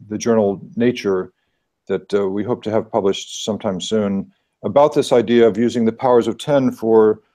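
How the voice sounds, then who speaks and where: Male presenter, lowish mellow voice, earnest and explanatory, on a video call. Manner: earnest, explanatory, thinking aloud. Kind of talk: presentation at an online meeting.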